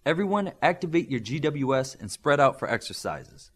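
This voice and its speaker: A man's voice: mid-range voice, very animated, a bit bright, husky, pitched above the performer's own voice. Man acting a stern, commanding drill instructor. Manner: brisk, giving clipped orders. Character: stern, commanding male military-style trainer.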